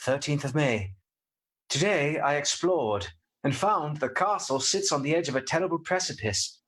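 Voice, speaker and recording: An actor playing a polite, earnest young gentleman in a radio play, speaking precisely and formally. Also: on the high side, lively intonation, normal speed, low-quality recording. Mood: uneasy, grave. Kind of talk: radio play acting with diary narration.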